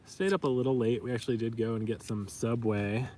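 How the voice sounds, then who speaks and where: A man's voice: medium pitch, steady tone, a little fast. A man vlogging from a long-distance hiking trip, chatting casually with lots of ums and likes. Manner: rambling, many ums and likes. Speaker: vlogger, trail hiker. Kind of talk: casual vlog chat. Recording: distant mic, loud outdoor noise.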